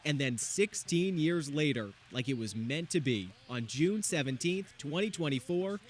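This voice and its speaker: Slightly high male news package narrator. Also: lively intonation, moderate pace, a little hiss or hum. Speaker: TV news reporter.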